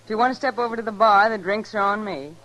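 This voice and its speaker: A woman's voice: mid-range voice, fast, very noisy, lightly acted. A woman playing a cool, bold young card dealer in a radio western, self-possessed and challenging. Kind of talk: old-time radio western acting. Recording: old radio recording, saloon crowd behind.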